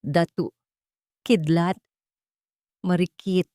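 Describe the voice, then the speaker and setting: A woman's voice: on the low side, fairly even intonation, very slow, mellow, no room sound, studio quality. A woman playing a frail, frightened old mother, pleading and bitter, in an audio drama. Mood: bitter anguish.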